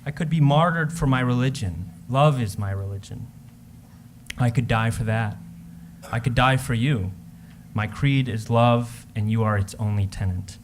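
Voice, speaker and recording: A man's voice: medium pitch, moderate pace, slight background noise, close to an everyday voice. A man playing an ardent, lovesick young Romantic poet in a readers theater performance. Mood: impassioned. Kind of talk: readers theater love letter.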